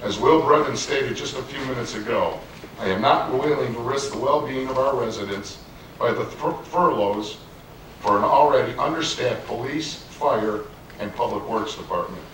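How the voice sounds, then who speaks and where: A man's voice: mid-range voice, fairly bright tone. Businesslike man, distant in a cavernous room. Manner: measured, businesslike, reads from prepared text. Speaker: village trustee candidate. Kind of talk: prepared opening statement at a public forum. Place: community meeting room with an audience. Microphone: handheld microphone. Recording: very echoey distant room sound, faint room noise.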